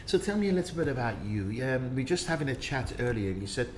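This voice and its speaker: A man's voice: mid-range voice, big pitch swings, fast, a little mellow, reverberant, a little hiss or hum. A man from Iran hosting a podcast, asking eager questions with many fillers. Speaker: podcast host. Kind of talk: podcast interview. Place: room in Dubai.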